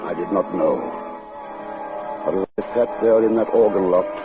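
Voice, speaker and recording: Low, ominous actor as a brooding radio narrator. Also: male voice, narrow, tinny audio, close to an everyday voice. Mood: haunted, ominous. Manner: formal, measured, ominous. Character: brooding, sinister man narrating his own dark tale. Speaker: narrator and lead character. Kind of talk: old-time radio drama acting and narration. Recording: band-limited vintage radio recording with music.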